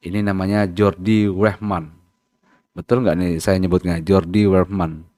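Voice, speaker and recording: Low-voiced chatty man with dry sound. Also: fairly even intonation, measured pace, mellow, no echo. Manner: chatty, many fillers and tag questions, stumbles over foreign names. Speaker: football channel host. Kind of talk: casual spoken commentary.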